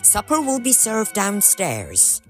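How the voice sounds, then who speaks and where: A woman's voice: medium pitch, huge pitch swings, slow, a bit bright, soft background music, heavily acted. A woman playing a dutiful, fearful older housekeeper in a period ghost drama, deferential and theatrical. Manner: deferential, old-fashioned, theatrical. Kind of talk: period audio drama acting.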